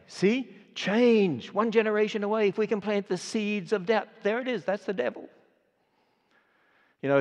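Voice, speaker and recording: An older man with an Australian accent preaching a sermon, stressing words and swinging between loud and quiet. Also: on the high side. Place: large auditorium. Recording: dull sound with long hall reverb.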